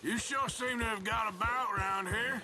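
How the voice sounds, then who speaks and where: An actor playing a tough, wry Western outlaw with a gruff, folksy American drawl in a video game cutscene. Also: male voice, sits high, moderate pace, close to an everyday voice. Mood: sardonic. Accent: American, rural Western drawl. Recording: music behind the voice.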